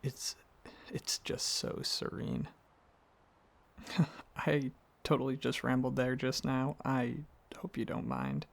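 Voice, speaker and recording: A man playing a gentle, affectionate dragon boyfriend in an intimate ASMR roleplay, speaking softly and earnestly with some hesitant rambling. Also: mid-range voice, sing-song, takes it slowly, exaggerated voice. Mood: wistful, serene. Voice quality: soft, husky, slightly gravelly, breathy.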